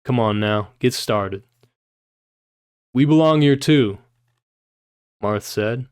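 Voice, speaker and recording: A male livestreamer with a medium-pitched voice reads literary prose aloud. He slips between narration and loose, informal chat, with puzzled asides and casual swearing. The sound is dry, with very little room in it. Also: slow, a little mellow, clear, resonant tone, no echo.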